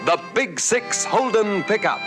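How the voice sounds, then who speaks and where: A man's voice: high-pitched, animated, unhurried. A man reading a 1960s radio commercial in a punchy, emphatic way. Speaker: advert announcer. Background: music.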